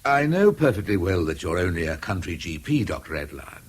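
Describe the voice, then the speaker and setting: Husky, probing English police inspector. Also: male voice, low-pitched, big pitch swings, exaggerated voice. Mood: probing, insistent. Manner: formal, deliberate, incisive. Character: probing, sceptical male police inspector, brusque with subordinates. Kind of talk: 1970s British radio drama acting.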